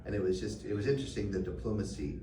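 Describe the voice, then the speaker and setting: A middle-aged man talks through his answers in a reflective, unhurried way, with plenty of fillers and restarts. His voice sits a little low. He is recorded from a distance in an echoing room with clear background noise. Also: mellow. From Canada. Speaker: guest. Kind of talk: conversational interview answers.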